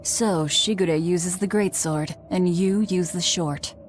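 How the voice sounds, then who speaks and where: A woman's voice: fairly low pitch, fairly even intonation, slow, slight background noise. A woman voicing a cool, sardonic fighter in a video game, dry and terse. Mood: cool, musing. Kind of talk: English video game voice acting. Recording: game music under the voice.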